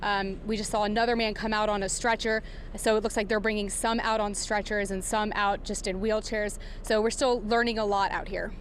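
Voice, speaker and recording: A woman working as a field news reporter, giving a live breaking report in a halting, improvised, explanatory way from outdoors. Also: medium pitch, flat delivery. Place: outdoors outside a warehouse. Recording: clear background noise outdoors.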